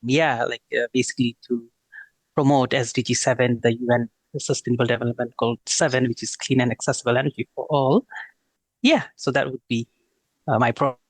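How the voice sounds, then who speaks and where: A man's voice: mid-range voice, fairly even intonation, fairly quick, no echo, poor audio. A man in his thirties from Bangladesh, a guest talking casually in an interview, with many fillers and restarts. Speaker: guest, renewable energy consultant.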